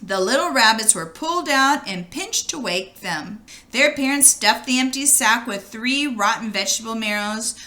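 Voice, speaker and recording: A woman reading a children's storybook aloud in an expressive, animated way, as if to young listeners. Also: on the high side, moderate pace, hardly any pauses. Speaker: storybook reader.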